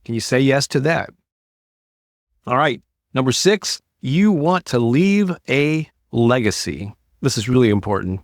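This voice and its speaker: A man's voice: medium pitch, lively intonation, measured pace, no echo, clean studio sound. An older man hosting a motivational talk for an online audience, speaking in a conversational, encouraging way and stressing key words. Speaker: host, motivational creativity coach.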